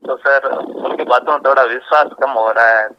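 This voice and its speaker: A man's voice: medium pitch, steady tone, moderate pace, a little hiss or hum. Dull lo-fi call, deferential man. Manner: deferential, hesitant, often says sir. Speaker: caller, job aspirant. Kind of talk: phone conversation. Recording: dull lo-fi phone call recording.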